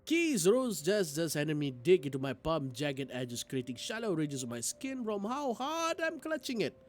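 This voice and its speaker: A man reading a visual novel aloud on a livestream in an expressive, theatrical way, as a game streamer. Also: fairly high pitch, moderate pace. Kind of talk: livestream playthrough, dramatic read-aloud of visual novel narration. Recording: music playing behind the voice. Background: game music.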